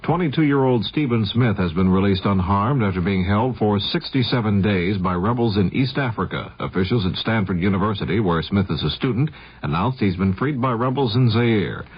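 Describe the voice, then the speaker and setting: A man with a low American voice anchors a radio newscast, reading from a script. His tone is husky, and the sound is dulled like a vintage broadcast. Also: lively intonation, moderate pace, dull audio.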